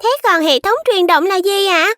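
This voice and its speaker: A very high-pitched little kid from a children's cartoon, squeaky and eager. The tone is bright and sharp, recorded close and dry, with a curious, polite way of talking. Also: moderate pace, dry recording.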